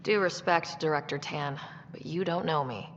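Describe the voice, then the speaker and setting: A woman's voice: low voice, moderate pace, lightly acted. A young woman acting as a level-headed human explorer leader in a video game, composed and plain-spoken. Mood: guarded, modest. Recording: dull game audio, long reverb, faint noise.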